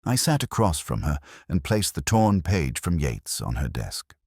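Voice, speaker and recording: Brooding older detective , male actor, extremely low voice with expressive swings, formal and reflective first-person drama narration. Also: unhurried, husky, no room sound, studio quality, heavily acted. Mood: grave, restrained.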